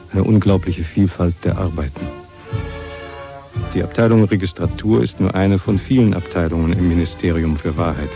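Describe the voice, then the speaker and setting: An actor narrating a German dystopian radio drama in a solemn, measured, declamatory way. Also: male voice, sits low.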